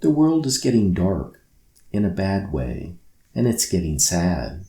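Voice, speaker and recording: A man voicing a gentle, mysterious magical spirit, soft and calm, in a children's bedtime story. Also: low voice, a little slow, roomy sound, exaggerated voice. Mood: gentle, grave.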